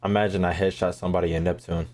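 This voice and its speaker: A man's voice: low-pitched, flat delivery, very fast, a little mellow. A man giving casual, joking gameplay commentary as a video host, crude and slangy.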